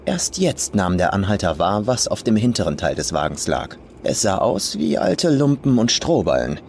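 A man's voice: fairly low pitch, very animated, moderate pace, darkish tone, slight background noise. A man reading a scripted horror story as an audiobook narrator. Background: faint atmospheric ambience.

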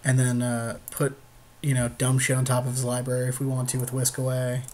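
A man's voice: mid-range voice, completely flat delivery, a little fast, darkish tone, a little hiss or hum. A man co-hosting a casual card game session, chatting through strategy with playful slang. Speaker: podcast co-host advising on an online card game.

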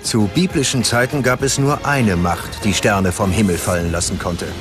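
A man with a fairly low voice delivers scripted, dramatic documentary narration. Prominent music plays behind him. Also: steady tone, normal speed.

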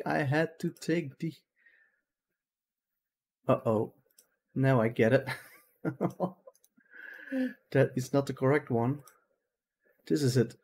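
A man's voice: medium pitch, darkish tone. Man, 30s, Dutch native speaker, casual game commentary, headset mic, laughs at himself. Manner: chatty, laughs at own mistakes. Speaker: gaming streamer. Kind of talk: casual let's-play commentary. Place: small room at home.

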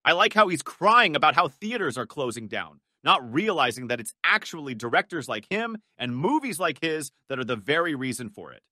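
A man delivers scripted commentary in a high, sharp voice. His tone is scornful and sarcastic. The voice-over is close and very dry. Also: big pitch swings, brisk, hardly any pauses, no room sound. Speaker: video commentator.

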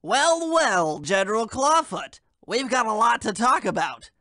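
Bright, pedantic cartoon egghead. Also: male voice, sits high, very animated, a little slow, no echo, clean studio sound, heavily acted. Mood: interrogating. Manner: pedantic, wordy, theatrical. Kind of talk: video game voice acting.

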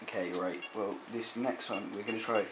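A man's voice: fairly low pitch, fairly even intonation, moderate pace. A young adult man making a prank phone call, asking politely and hesitantly with feigned earnestness. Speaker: prank caller. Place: dim room at home. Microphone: handheld camera close by. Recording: amateur camcorder audio with clear noise.

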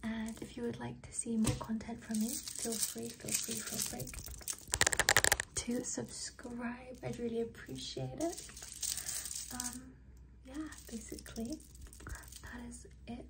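A young woman in her twenties chatting in a soft ASMR whisper, repeating words slowly as triggers, recorded close to the mic in a small bedroom. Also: a little high, flat delivery, creaky, heavy background noise. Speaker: ASMR creator. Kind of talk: whispered ASMR outro chat. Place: small bedroom with red lights. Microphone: close mic, facing camera.

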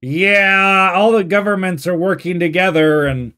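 A man's voice: high-pitched, fairly even intonation, a little slow, over music. A man in his forties, a little throaty while getting over an illness, chatting and exclaiming as he reacts to a movie. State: slightly throaty, recovering from illness. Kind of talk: casual movie reaction commentary. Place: small home room.

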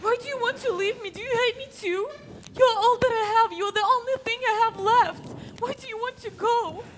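A woman's voice: very high-pitched, normal speed, slight background noise. A woman voicing an anxious, distraught young man. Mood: pleading. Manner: emotional, panicky. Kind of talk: hobby voice drama.

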